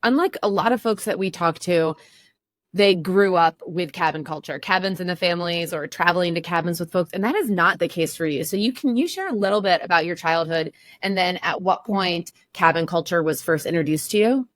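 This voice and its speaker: A woman hosting a podcast, reading a smooth scripted intro and then asking lively questions in conversation. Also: lowish voice, fairly quick. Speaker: podcast host.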